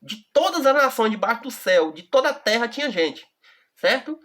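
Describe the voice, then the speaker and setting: A woman with a Brazilian accent teaching a Bible study, reading verses slowly and deliberately and often checking in with the listener. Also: a little high, very animated, fairly bright tone. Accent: Brazilian Portuguese.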